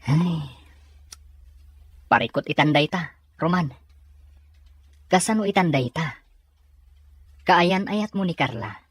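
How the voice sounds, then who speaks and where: An actor plays a concerned, frank Filipino friend in a radio drama. The voice is husky and close, in a very dry recording. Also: male voice, on the high side, lively intonation, slow pace, long pauses, a bit bright, dry recording, full character voice. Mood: frank, worried. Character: concerned male friend giving frank advice.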